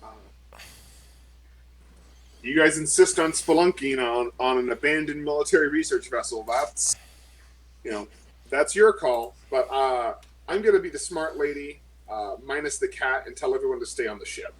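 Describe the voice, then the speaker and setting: A man's voice: medium pitch, expressive, moderate pace, husky, rough recording. Chatty man retelling his character's moves. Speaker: player. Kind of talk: in-character tabletop play over voice chat.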